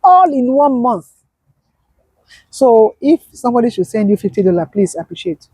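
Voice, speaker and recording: A woman talks as a guest in an interview, her voice husky and soft-edged with a mellow, dark tone. She hammers her points home by repeating them. The sound is close and dry. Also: a little high, very animated, normal speed, no echo, low-quality recording. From Nigeria. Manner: emphatic, repeats key points. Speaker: guest, business owner. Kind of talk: conversational interview.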